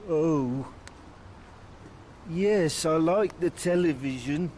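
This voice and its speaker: A childlike, obedient grown man in a radio drama. He talks slowly and literally in simple repeated phrases, a little high, over faint background noise. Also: darkish tone. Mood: placid, literal. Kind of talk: radio play dialogue.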